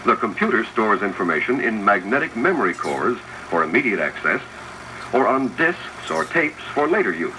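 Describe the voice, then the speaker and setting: A man's voice: on the low side, big pitch swings, normal speed. A man reading scripted, instructive narration for a 1970s educational film, addressing the viewer directly. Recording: noisy 1970s 16mm film soundtrack, projector whir. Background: mechanical projector noise.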